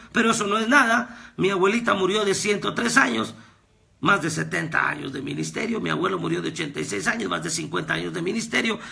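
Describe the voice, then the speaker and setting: A man, preaching a fervent devotional sermon as a pastor and prophet, with repetitions that rise to shouts. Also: sits high, gravelly. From Guatemala. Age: 30s.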